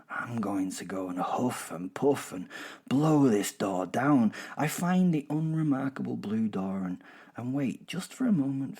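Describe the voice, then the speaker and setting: Low-voiced, arrogant werewolf, acted by a man. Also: darkish tone. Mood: hushed, predatory. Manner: theatrical, sardonic, foul-mouthed, savouring words. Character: arrogant, gleefully savage werewolf killer telling his hunts. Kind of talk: first-person horror audio drama narration.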